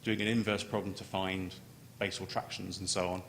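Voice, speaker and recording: A man giving an unscripted technical conference presentation as a scientist, halting and often repeating words as he starts a phrase. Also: flat delivery, vocal fry, a bit bright. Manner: halting, with stammered word repetitions. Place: large conference room. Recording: echoey hall, noticeable background noise, low rumble.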